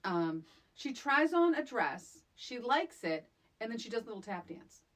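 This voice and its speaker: A woman co-hosting a podcast, chatting casually about a movie in an animated, exclamatory, conversational way. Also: on the high side, unhurried. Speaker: podcast co-host. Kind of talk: casual movie recap chat.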